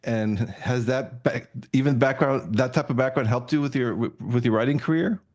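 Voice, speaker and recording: A man's voice: medium pitch, normal speed, darkish tone. A man in his fifties hosting an interview podcast, friendly and casual, with many uhs and restarts and frequent laughs. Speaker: podcast host.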